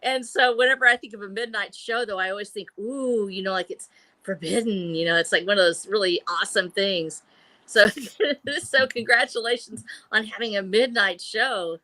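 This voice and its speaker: A woman's voice: mid-range voice, moderate pace, pushed and bright, dry recording, poor audio. A woman in her sixties, a guest on a live video call stream, chatting in a bubbly way and laughing often. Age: 60s. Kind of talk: casual chat on a live stream.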